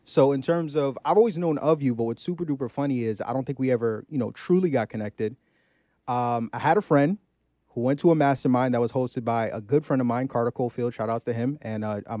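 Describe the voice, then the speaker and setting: A man's voice: medium pitch, mellow, dry recording, studio quality. A man hosting an interview podcast, talking with showman energy and casual slang. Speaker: podcast host.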